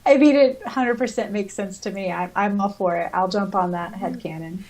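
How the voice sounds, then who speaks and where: A woman's voice: medium pitch, normal speed, darkish tone. A woman co-hosting a podcast, chatting casually and sometimes reading passages aloud. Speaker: podcast co-host and audiobook narrator.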